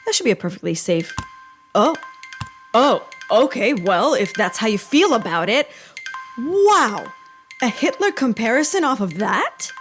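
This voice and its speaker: A woman playing a sarcastic, mischievous hacker agent with a snarky manner in a comedy audio drama. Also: medium pitch. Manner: snarky, deadpan quips.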